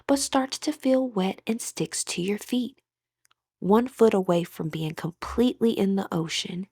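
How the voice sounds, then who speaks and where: A woman leading a scripted guided meditation in a soothing, deliberate manner that often softens into breathiness, recorded close on a voice-over microphone. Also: fairly high pitch, vocal fry, slightly rough, no room sound.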